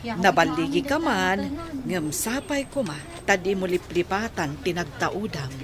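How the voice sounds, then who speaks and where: Lively loving mother played by a woman. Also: on the low side, measured pace. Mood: proud, tender. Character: loving, proud mother of a grown son. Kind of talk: radio drama acting. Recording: crowd noise behind.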